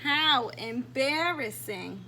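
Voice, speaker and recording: A woman reading a children's storybook aloud in an expressive storytelling style. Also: high-pitched, very slow, a little hiss or hum, rough recording. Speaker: storybook reader.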